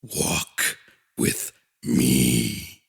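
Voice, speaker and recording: A man voicing a colossal ancient monster god, solemn and commanding, with a very deep rumble and slow, portentous delivery. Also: animated, vocal fry, husky, no room sound, studio quality, full character voice. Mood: grave command.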